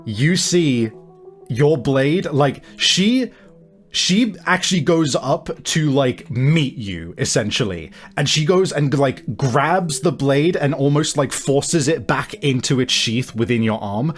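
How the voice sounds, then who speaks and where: A man improvising narration as the game master of a tabletop game, explaining things in halting, hedging phrases. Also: mid-range voice, animated. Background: music.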